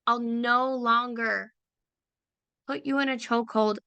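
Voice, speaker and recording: A woman leading a guided visualization in a soft, unhurried, affirming way, often repeating phrases. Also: fairly high pitch, steady tone, creaky, smooth, no room sound, highs cut off. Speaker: meditation guide for creative business owners.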